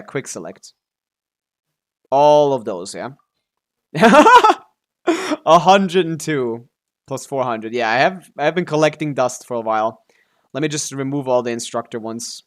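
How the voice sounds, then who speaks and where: A man casually commentating on a game livestream, swearing freely as he reacts aloud and talks to himself and his chat. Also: mid-range voice. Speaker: game streamer.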